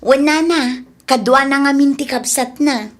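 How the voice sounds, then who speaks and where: A woman's voice: sits high, unhurried. A young woman playing a polite, thrifty student boarder in a radio comedy drama, speaking conversationally.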